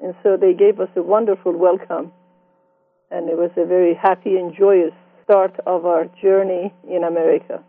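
A woman in her sixties from Iran with a Persian accent, telling her life story in an interview with reflective, gently amused warmth. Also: lowish voice, flat delivery, normal speed, no echo. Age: 60s. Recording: dry tinny phone-like sound.

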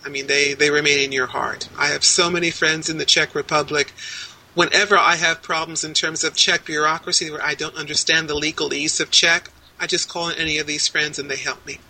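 Bright, crisp-voiced woman in her sixties. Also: low voice, steady tone, rough recording. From United States. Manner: measured and articulate, listing details in long strings. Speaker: interviewee. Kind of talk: casual interview answers. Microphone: video call.

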